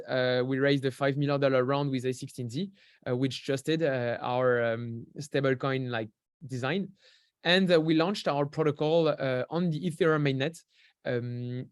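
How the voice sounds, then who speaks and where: A man's voice: on the high side, dry recording, studio quality. A young man from France with a French accent, a podcast guest explaining things in an easygoing way, with many fillers and restarts. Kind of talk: casual interview talk.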